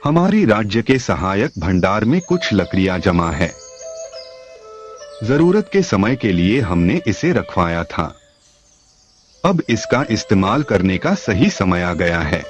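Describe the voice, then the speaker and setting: Slow, low-voiced man as a parrot king. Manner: measured, addressing his subjects reassuringly. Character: calm, authoritative parrot king of the forest birds. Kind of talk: animated story dialogue. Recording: dull lo-fi sound, music behind.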